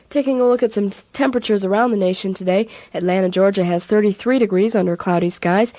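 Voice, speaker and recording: A woman reading a weather forecast as a college radio announcer in the 1980s, in a measured way with occasional stumbles. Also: medium pitch, mellow, no room sound, rough recording.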